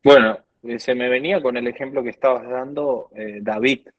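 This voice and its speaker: A man's voice: mid-range voice, fairly even intonation, moderate pace, no echo. Earnest Argentine man, dull dry sound. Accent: Argentine Spanish. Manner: earnest, quotes scripture at length. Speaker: guest worship minister. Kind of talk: conversational teaching.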